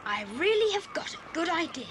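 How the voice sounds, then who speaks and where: A performer playing a bold, quick-thinking child adventurer who takes charge in a children's radio play. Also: very high-pitched, big pitch swings. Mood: planning, confident. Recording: noisy old cassette transfer.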